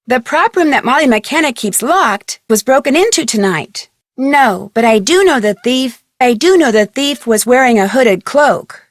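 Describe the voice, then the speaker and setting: A young woman voicing an inquisitive, polite young detective in a video game, speaking scripted lines clearly and earnestly. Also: on the high side, no echo, clean studio sound. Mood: curious, probing.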